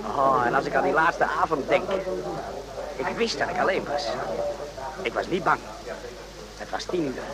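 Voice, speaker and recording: A man's voice: mid-range voice, expressive, normal speed, pauses often, over a noisy crowd, close to an everyday voice. A man playing a brash army sergeant in a radio drama, talking bluntly and colloquially. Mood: reflective. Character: brash, excitable army sergeant on night watch.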